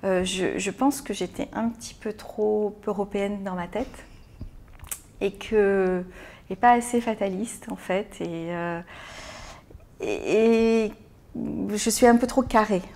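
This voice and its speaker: A woman born in France, an interview guest answering in a thoughtful, halting way with frequent pauses and repeated words. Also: mid-range voice, steady tone, dark tone. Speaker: interview guest, business owner. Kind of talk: hesitant conversational interview answers.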